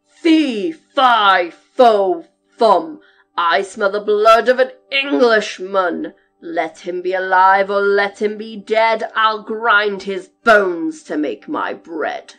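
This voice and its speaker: A huge, hungry giant bellows in a thunderous voice, played by a woman storyteller dropping her pitch. He booms each line loudly and slowly. Soft music plays behind him. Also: lively intonation. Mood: menacing. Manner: booming, thunderous.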